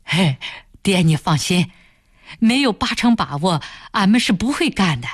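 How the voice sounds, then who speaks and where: A woman's voice: fairly low pitch, lively intonation, moderate pace, dry recording, studio quality. A woman voicing a good-natured, eager and anxious young village husband in an audiobook, with rustic, exclamatory speech. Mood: cheerful reassurance. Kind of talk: audiobook character voice.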